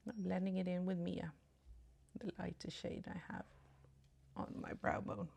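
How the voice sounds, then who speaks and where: A woman from Sweden with a slightly Swedish-tinged British English accent, chatting casually through a makeup tutorial just after waking up, dropping to soft murmurs as she applies makeup. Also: fairly low pitch, little pitch movement, unhurried, vocal fry, breathy edge. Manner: chatty, apologetic asides, soft murmurs while applying makeup. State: just woke up. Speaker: beauty vlogger.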